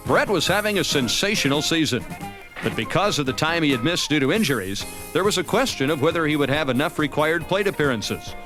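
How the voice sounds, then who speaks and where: Male American documentary narrator, sports season recap, voice a touch high, light music bed. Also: sing-song, a little fast. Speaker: narrator of a baseball season review. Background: background music.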